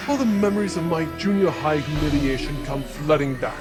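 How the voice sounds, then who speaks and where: Man playing a pompous, high-pitched aristocratic villain. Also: lively intonation, brisk, music in the background, natural voice. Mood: sardonic menace. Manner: theatrical, florid, sneering. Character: pompous, vain, vengeful aristocratic villain, an Archduke. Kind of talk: comic space-opera audio drama acting.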